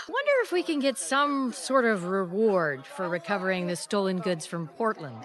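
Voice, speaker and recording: An actress playing a saucy, playful detective's sidekick in a radio drama, musing and teasing. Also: female voice, medium pitch, sing-song, moderate pace, fairly bright tone.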